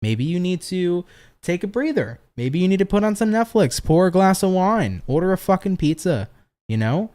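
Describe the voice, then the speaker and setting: A man's voice: high voice, big pitch swings, moderate pace, warm tone. A tired man reading tarot on a casual livestream, chatty and profane, laughing often and answering viewer comments. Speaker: tarot reader hosting a livestream.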